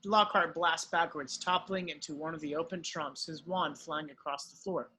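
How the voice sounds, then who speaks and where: A man's voice: on the high side, fairly even intonation, moderate pace, sharp tone, breathy edge, rough recording. A man narrating, reading the stage directions of a screenplay in an amateur read-through.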